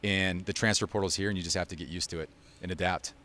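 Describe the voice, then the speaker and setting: A man's voice: deep voice, fairly even intonation, quick pace, pushed and bright. A man speaking as a college coach in a sit-down sports interview, explaining things in a plain, informal way. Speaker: college basketball head coach.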